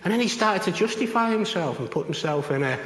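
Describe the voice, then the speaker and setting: A man preaching an informal sermon in a rambling, conversational way, his voice a little croaky. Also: high voice, animated, quick pace, a bit bright, roomy sound, in a large hall. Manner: conversational, rambling with many fillers. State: slightly croaky voice.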